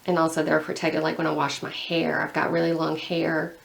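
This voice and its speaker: A woman's voice: low voice, monotone, a little fast, gravelly. A young woman chatting casually while painting her nails, rambling and full of "like". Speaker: beauty vlogger.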